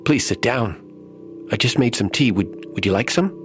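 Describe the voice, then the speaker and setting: A man's voice: mid-range voice, expressive, moderate pace, a bit bright, soft background music, pitched above the performer's own voice, natural voice. A man voicing a jittery stammering young poet. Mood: nervous. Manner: stammering, hesitant. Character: scrawny nervous stammering male poet, desperate.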